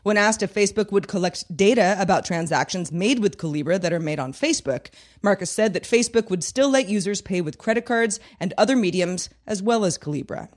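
A woman presents scripted news headlines for a podcast. Her voice sits at an average pitch for a woman. She delivers each item in a measured, matter-of-fact newsreader manner. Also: expressive.

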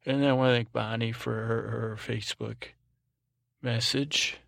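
A man's voice: mid-range voice, normal speed, gravelly, no room sound, clean studio sound. A man hosting a bedtime podcast in a soothing, soporific drone, meandering and stumbling through his monologue as he interrupts himself. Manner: droning, monotone. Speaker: podcast host.

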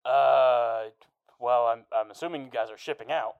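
A man's voice: mid-range voice, moderate pace, creaky, smooth, no echo. A man voicing a hesitant male greenskin who answers a call and keeps stalling with "uh". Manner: hesitant, full of "uh"s. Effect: phone line. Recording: tinny dry phone line.

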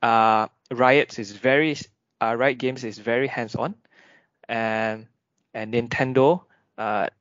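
A man's voice: mid-range voice, fairly even intonation, takes it slowly, fairly bright tone, no echo. A man in a casual discussion, rambling with many fillers and restarts. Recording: dull dry recording.

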